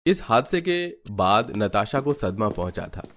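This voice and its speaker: A man's voice: fairly low pitch, sing-song, a little slow, a bit bright. A man narrating a scripted family story as a storyteller.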